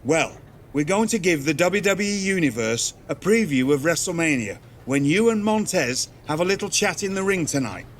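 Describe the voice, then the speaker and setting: A man's voice: on the high side, expressive, moderate pace, slight background noise, close to an everyday voice. An actor playing a seasoned older wrestling official with a wry, showman-like manner in a video game. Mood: businesslike. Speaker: wrestling show official and ring introducer. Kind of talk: scripted game dialogue.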